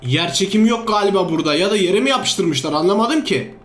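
A man giving playful, excitable game commentary, full of exclamations and repeated commands. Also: high voice, fairly quick. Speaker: gaming video host. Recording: roomy echo, music underneath. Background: background music.

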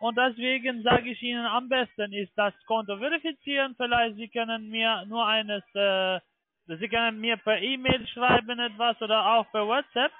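A man speaking halting, ungrammatical German with a foreign accent, making an improvised sales call over the telephone. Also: very high voice, fairly even intonation, dry recording. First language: not German. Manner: halting, ungrammatical, often ending on "ne?". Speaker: phone scam caller posing as broker. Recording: muffled narrow phone line.

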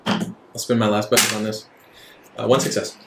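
A man narrating an improvised tabletop game as game master, feeling out his phrases in a halting way. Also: fairly low pitch, level tone, noisy background. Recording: roomy sound under guitar music.

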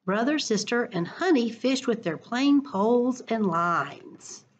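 A middle-aged woman reading a story aloud to children in a deliberate, expressive way, recorded on a computer at home. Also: on the high side, dull audio, a little hiss or hum. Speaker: school educator reading to children. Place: living room at home. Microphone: computer webcam at arm's length.

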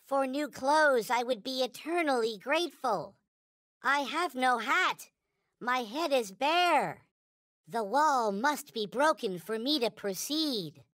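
A man voicing a small, naive, reverent toy space alien in a video game, with a high childlike cartoon voice and solemn, stilted, formal phrasing. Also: animated, slow pace, fairly bright tone, no echo, studio quality, heavily acted. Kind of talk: video game character voice lines.

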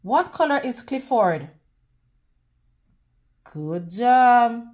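A woman reads a picture book aloud for young children. Her voice sits a little high and swings widely in pitch. She goes very slowly and deliberately, teacher-style, leaving pauses around her questions. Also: sing-song, darkish tone. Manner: slow, deliberate, teacherly, pausing to ask questions. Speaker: children's read-aloud host.

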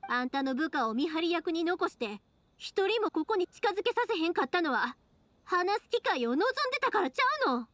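A woman's voice: extremely high pitch, very animated, a little fast, fairly bright tone, no echo, heavily acted. A woman playing a frank, stubborn young fireworks maker with a Kansai accent in a Japanese anime game. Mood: pleading.

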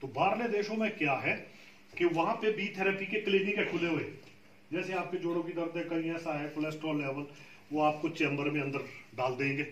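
A man's voice: on the high side, fairly even intonation, fairly quick, a bit bright, a little hiss or hum. A man explaining beekeeping patiently to a group of farmers, often checking that they follow. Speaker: beekeeping trainer. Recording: echoey room, far from the mic.

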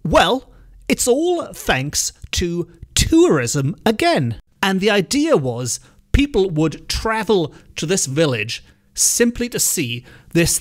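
A Welsh man hosting a solo folklore podcast in a chatty, self-deprecating way, with small restarts. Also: fairly high pitch. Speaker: podcast host.

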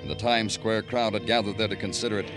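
A man acting a world-weary New York police detective, narrating poetically and deliberately in the style of 1950s radio noir. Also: lowish voice, over music, natural voice. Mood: wry, lyrical. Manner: dramatic, poetic, deliberate delivery. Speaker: radio drama lead narrator. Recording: old radio broadcast.

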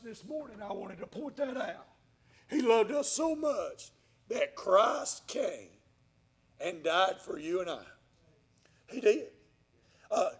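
A man's voice: high voice, breathy edge. A man preaching earnestly and plainly as a pastor, repeating phrases for emphasis. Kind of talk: evangelical sermon and church address. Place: large church sanctuary, indoors.

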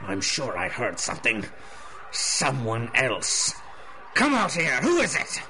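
Pompous, swooping sewer tyrant. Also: male voice, mid-range voice, huge pitch swings, slow pace, pushed and bright, a little hiss or hum, exaggerated voice, low-quality recording. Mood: suspicious. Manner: pompous, menacing, mock-courteous. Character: theatrical villain Rat Lord ruling child thieves from a sewer. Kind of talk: 1930s-style radio drama acting.

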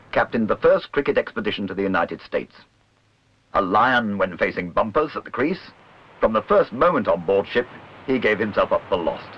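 A man reading scripted documentary narration in a measured, literary, wry manner. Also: on the low side, husky. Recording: dull old film soundtrack, music behind.

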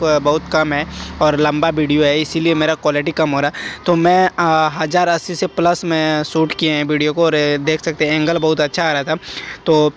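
A man's voice: on the high side, fairly bright tone. A young man rambling chattily to viewers while riding a motorcycle on rural roads, recorded on a helmet-mounted action camera. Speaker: moto vlogger. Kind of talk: casual motovlog commentary while riding. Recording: wind and road noise from riding.

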